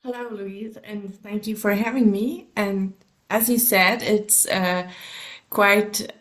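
A woman from Switzerland with a Swiss German accent talks in a relaxed, unhurried way. German is her first language, and she stops now and then to hunt for the right English word. She chats easily as a podcast guest. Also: medium pitch. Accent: Swiss German, with English learned in the US. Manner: measured, pauses to search for words. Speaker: guest, subconscious coach and therapist. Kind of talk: casual podcast interview.

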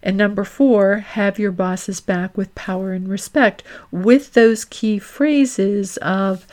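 A woman career coach and host delivering an instructional monologue, laying out her points deliberately and with emphasis. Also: medium pitch, fairly even intonation, a little mellow.

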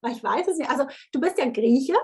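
A woman in her thirties hosting a podcast interview, lively and enthusiastic, often slipping in English phrases. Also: high-pitched, fairly quick. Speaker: podcast host.

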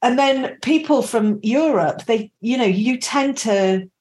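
A woman's voice: on the high side, measured pace, darkish tone. A woman from Yorkshire with a Yorkshire accent, hosting an interview and chatting loosely, laughing often and restarting her sentences. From Yorkshire, England. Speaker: podcast host. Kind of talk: casual interview chat.

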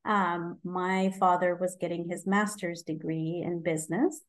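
A woman's voice: fairly low pitch, little pitch movement, a little slow. A woman in her forties from Egypt, a physician guest in an interview, talking casually with frequent ums, restarts and easy laughs. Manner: hesitant, many ums and restarts, laughs easily.

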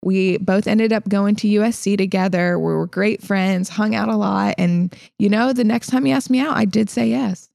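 A young woman with a light Southern accent hosting a casual podcast, upbeat and quick to laugh. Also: medium pitch, vocal fry, darkish tone, no echo, studio quality. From Illinois. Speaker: podcast host.

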